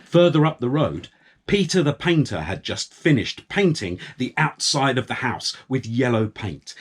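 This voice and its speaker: Very animated man telling children's stories. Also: medium pitch. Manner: playful, with drawn-out words and repetitions. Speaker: children's storyteller. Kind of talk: animated storytelling for young children.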